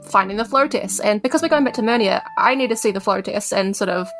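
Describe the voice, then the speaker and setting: A woman's voice: medium pitch, quick pace, a bit bright, soft background music. A woman with a New Zealand accent talking casually in character as a player in a tabletop role-playing game.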